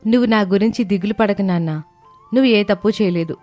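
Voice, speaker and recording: A devoted, headstrong daughter of about thirty, played by a woman narrator in a storybook tale. Her voice is mid-pitched and earnest, with music underneath. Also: quick pace, mellow, close to an everyday voice. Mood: stubborn, consoling. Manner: indignant, protective of her father. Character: fiercely loyal unmarried daughter of about thirty.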